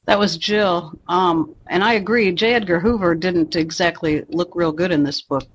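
A man's voice: high voice, steady tone, normal speed, slightly rough, muffled recording. A man chatting casually as a participant in a group book discussion, explaining things in plain conversational terms. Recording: faint noise and clicks.

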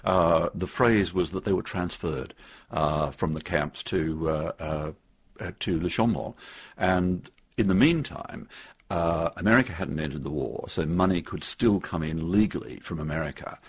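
Older male author for interview: gravelly, deep, anecdotal, conversational, halting yet emphatic. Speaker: author interviewed about his history book.

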